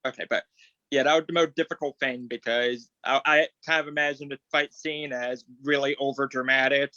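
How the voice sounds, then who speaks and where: A man's voice: medium pitch, steady tone, fairly quick, bright, forceful tone, no echo. A man, an actor, explaining things casually in a video call discussion. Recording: dull lo-fi video call audio.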